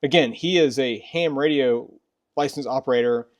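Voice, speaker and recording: A man's voice: mid-range voice, moderate pace, dull audio. Casual man hosting a review video. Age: middle-aged. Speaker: video host. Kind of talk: casual product review chat.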